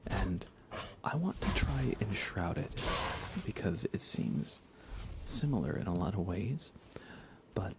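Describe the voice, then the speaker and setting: A soft-spoken man chatting casually on an ASMR gaming livestream, relaxed and often slipping into a whisper. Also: fairly low pitch, slight background noise. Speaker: livestreamer and host.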